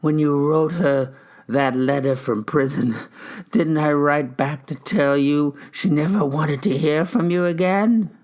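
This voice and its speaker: A man's voice: fairly high pitch, measured pace, a little mellow, pitched above the performer's own voice, natural voice. Man acting a reedy, bitter old widower. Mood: hostile. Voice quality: thin, reedy, quivering voice. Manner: accusing, indignant. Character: grieving, bitter old widower, frail and hostile.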